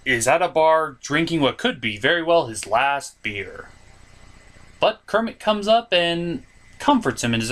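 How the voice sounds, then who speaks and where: A man's voice: on the high side, a bit bright. A man hosting a comedic review show, narrating from a script. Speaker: host and reviewer.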